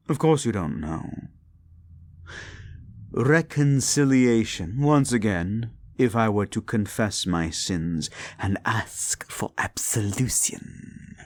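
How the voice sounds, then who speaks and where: A man voicing a cruel, unrepentant male demon in an audio roleplay, talking slowly with contempt and dropping into menacing whispers. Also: no room sound, full character voice. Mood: scornful. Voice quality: gravelly, low, menacing.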